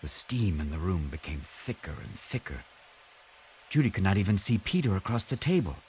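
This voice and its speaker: A man narrating a children's storybook aloud in an expressive read-aloud style. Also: sits low, normal speed, slight background noise.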